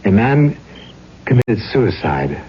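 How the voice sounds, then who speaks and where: An actor playing a courtly, mysterious, melancholy gentleman with poetic, theatrical phrasing in an old-time radio drama. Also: lowish voice, sing-song, takes it slowly. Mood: solemn, tragic. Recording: dull, noisy old radio recording.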